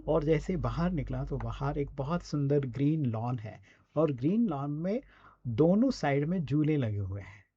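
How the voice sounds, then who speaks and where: A man's voice: a little high, expressive, a little slow, mellow, lo-fi sound, a little hiss or hum. A man with an Indian accent giving a conversational, instructive lesson in a presenter's role, often adding short check-in tags. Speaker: radio presenter giving a speaking and writing lesson. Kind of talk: conversational teaching talk.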